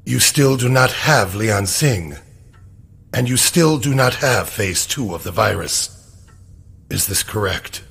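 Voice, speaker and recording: An actor playing a cold, controlled agency villain in a video game, a man speaking with deliberate menace. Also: on the low side, lively intonation, creaky, fairly bright tone, breathy edge, heavily acted.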